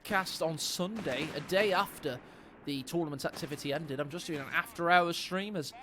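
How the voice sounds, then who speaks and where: A man's voice: fairly high pitch, normal speed, noisy background. A man casting a live strategy game match on stream. He jokes and talks directly to his viewers. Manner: playful, jokey, chats to viewers. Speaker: esports caster.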